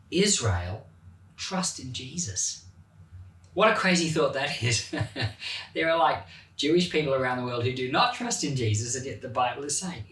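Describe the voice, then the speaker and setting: Male pastor, casual and animated Bible teacher, bright voice, recorded at a distance in a roomy space. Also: medium pitch. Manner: animated, chatty, laughs at his own points. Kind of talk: Bible reading and informal teaching. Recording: roomy echo, mic at a distance.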